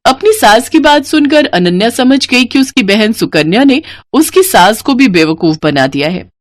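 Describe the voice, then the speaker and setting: A woman narrating a scripted moral bedtime story in an expressive storytelling manner. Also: a little high, moderate pace, no room sound, studio quality.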